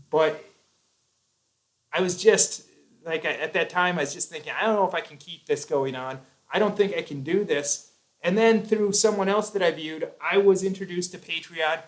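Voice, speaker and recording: A man's voice: on the high side, rough recording. Earnest rambling man. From United States. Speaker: YouTube host. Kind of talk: casual live chat.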